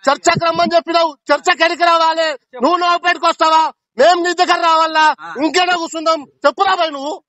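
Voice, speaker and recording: A man's voice: very high voice, level tone, fast, bright, forceful tone. A man calling on the phone and arguing angrily, confronting the other man. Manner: angry and confrontational. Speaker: caller. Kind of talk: heated phone argument. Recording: muffled phone call.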